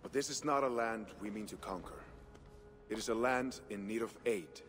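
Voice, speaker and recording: Game cast: actor playing a welcoming Viking chief, earnest, reverberant hall sound. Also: male voice, on the low side, normal speed, faint music behind, lightly acted. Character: Viking leader planning an aid voyage, warm host. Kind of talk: video game cutscene acting.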